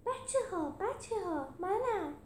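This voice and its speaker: A woman voicing a cheerful, dim male animal with an excitable, happy-go-lucky manner in a storybook reading. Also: very high voice, pitched above the performer's own voice. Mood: excited, happy. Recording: roomy echo with some background noise.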